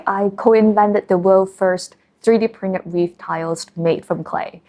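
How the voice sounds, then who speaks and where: A woman in her thirties with a Hong Kong English accent, speaking at a mid pitch. She answers in a measured, thoughtful interview style, pausing for ums and uhs as she explains her science work. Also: a little mellow. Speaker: marine scientist and company founder. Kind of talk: documentary interview.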